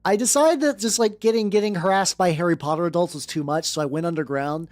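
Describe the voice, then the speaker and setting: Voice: mid-range voice, fast talker, a little mellow, no echo. A VTuber livestreamer chatting casually and reading fan fiction aloud in a rambling, sardonic, theatrical way. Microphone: close dry streaming mic. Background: faint background music.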